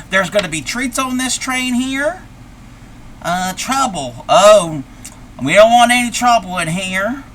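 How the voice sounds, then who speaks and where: A man's voice: high-pitched, bright, forceful tone, a little hiss or hum, exaggerated voice. A man voicing a folksy, befuddled old grandmother who is confused by technology, talking with old-fashioned exclamations on a live stream. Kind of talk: live stream character play.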